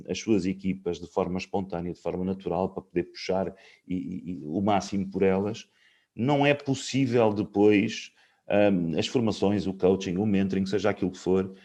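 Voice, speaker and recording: A man's voice: fairly low pitch, dark tone. A man in his fifties hosting a casual conversation from home, explaining his views at length with many fillers. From Portugal. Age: 50s. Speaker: host.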